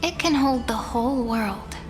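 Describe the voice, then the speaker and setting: An actress playing a calm, formal female chronicler in a game. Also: a little high, lightly acted. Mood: solemn. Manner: slow, solemn and formal. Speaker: game character. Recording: game cutscene with music underneath.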